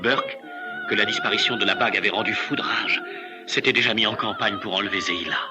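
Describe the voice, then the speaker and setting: A man's voice: lowish voice, huge pitch swings, fast. A man reading scripted storybook narration. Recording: music behind the voice. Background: music.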